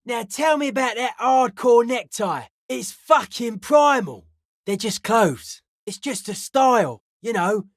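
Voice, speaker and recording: A deadpan, suspicious young raver, dry studio sound. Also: female voice, a little high, unhurried, no room sound. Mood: dry, guarded. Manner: deadpan, clipped, cryptic pronouncements. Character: suspicious, aloof young hardcore raver with cryptic theories. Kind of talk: video game character dialogue.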